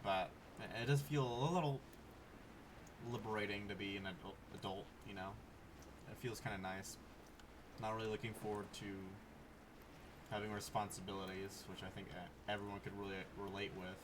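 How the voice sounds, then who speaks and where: Low-voiced young man on a noisy distant mic. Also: steady tone, normal speed, pauses often. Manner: blunt, swears freely. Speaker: game streamer. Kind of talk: casual rambling gameplay commentary. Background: game sounds, clicking.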